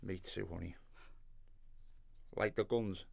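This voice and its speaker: Husband, curt, irritable, evasive, short temper, radio drama. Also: male voice, deep voice, steady tone, slow, vocal fry, full character voice. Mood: weary, placating. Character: evasive, short-tempered husband, secretive gym-obsessed grown man.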